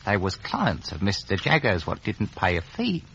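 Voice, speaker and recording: A dry, knowing Victorian law clerk from a vintage radio play. He talks in terse, rough speech with a lively, swinging pitch. The recording is dull and clearly noisy. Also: normal speed, heavily acted. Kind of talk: 1950s radio drama acting.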